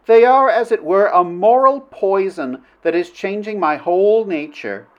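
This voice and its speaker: A man's voice: high voice, animated, unhurried, dull audio, pitched above the performer's own voice. A man voicing a proud princess in an old adventure romance audiobook, melodramatic and formal in speech. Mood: astonished, wavering. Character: proud commanding princess, stern then helplessly yielding to love.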